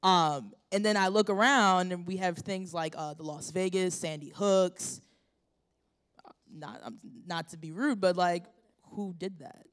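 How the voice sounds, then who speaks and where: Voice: medium pitch, a little slow, fairly bright tone. A student making a point in a group discussion, rambling with many fillers but emphatic. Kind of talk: casual spoken opinion.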